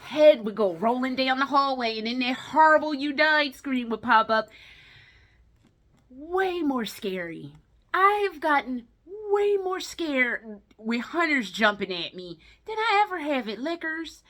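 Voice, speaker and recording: A woman's voice: high-pitched, sing-song, a little slow, a bit bright. A woman giving a casual, ranting movie review as a vlogger, blunt, exasperated and emphatic, swearing heavily. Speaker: video game and movie vlogger.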